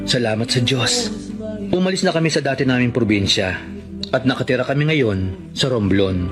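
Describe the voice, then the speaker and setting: Actor as an earnest, devoted Filipino suitor. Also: male voice, medium pitch, lively intonation, normal speed, over music, lightly acted. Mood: content, respectful. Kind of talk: Tagalog radio drama acting.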